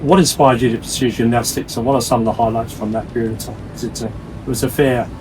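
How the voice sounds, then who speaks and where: A man hosting a conversational interview in wordy, halting phrases with repeated words. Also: mid-range voice, fairly even intonation, darkish tone, recorded from a distance, a little hiss or hum, poor audio. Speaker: interviewer, host.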